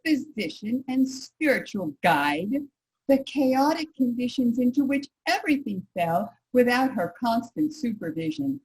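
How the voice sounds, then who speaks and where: A woman's voice: on the high side, a little mellow, poor audio. A woman playing a resolute nineteenth-century suffragist in a staged reading, emphatic and articulate. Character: discontented, resolute 19th-century suffragist wife. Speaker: reader in a reader's theater.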